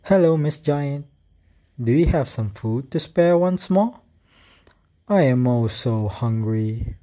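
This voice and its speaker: A man's voice: medium pitch, expressive, takes it slowly, mellow. A man playing a mischievous but polite young boy in an amateur radio drama.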